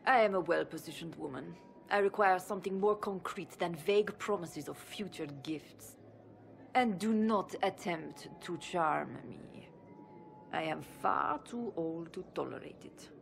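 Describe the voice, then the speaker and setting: An actress playing a snobbish, imperious older minister in a video game, with a creaky voice and a disdainful, deliberate, condescending way of talking. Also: female voice, fairly low pitch, a little hiss or hum. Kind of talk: video game cutscene acting.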